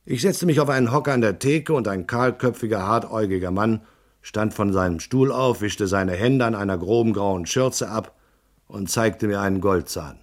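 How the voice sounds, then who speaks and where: An actor playing a hard-boiled, laconic detective in a noir radio drama, narrating in a terse, deadpan way. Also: male voice, fairly low pitch, moderate pace, natural voice. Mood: dry, weary. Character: hard-boiled male private detective, laconic and world-weary.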